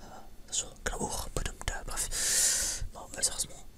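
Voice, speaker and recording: A man whispering breathily in a casual, unscripted ASMR style, rambling hesitantly with many false starts. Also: measured pace. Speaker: ASMR video creator.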